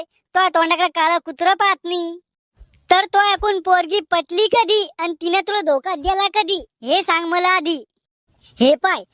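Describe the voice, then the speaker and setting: A woman's voice: extremely high pitch, fairly bright tone, dry recording, clean studio sound. A woman voicing a bantering young village man in a cartoon comedy, with a rural Maharashtrian Marathi accent. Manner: colloquial, bantering.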